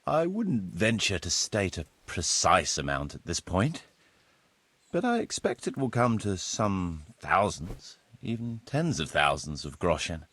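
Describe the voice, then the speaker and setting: An actor playing a formal, courtly medieval land surveyor in a video game, speaking precisely and deferentially. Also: male voice, low voice, very animated, measured pace, fairly bright tone, breathy edge, no echo, full character voice. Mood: formal, assured. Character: formal, courtly medieval locator Marius, eager planner and surveyor. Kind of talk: video game cutscene acting.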